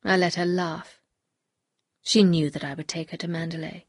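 A woman voicing a bitter, anguished upper-class husband in an audiobook, speaking gravely and confessionally. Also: lowish voice, fairly even intonation, moderate pace, no echo, studio quality, voice pushed down. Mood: bitter, pleading. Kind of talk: audiobook character dialogue.

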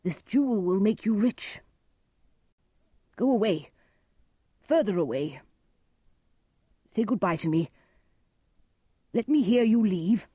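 A woman voicing a blind old nobleman who speaks gravely and emphatically in despair, in a storybook reading. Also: medium pitch, lively intonation, slow, pauses often, dark tone, no room sound, studio quality, pitched above the performer's own voice. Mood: despairing, solemn.

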